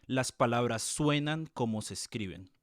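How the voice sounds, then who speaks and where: A man with a Colombian Spanish accent answers interview questions carefully, laying out his points one by one and giving examples. His voice sits at an ordinary middle pitch. The recording is dry and close, with almost no room sound. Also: lively intonation, no echo. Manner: measured, explains with examples and tag questions. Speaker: tutor and music teacher being interviewed. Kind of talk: thoughtful explanatory interview answers.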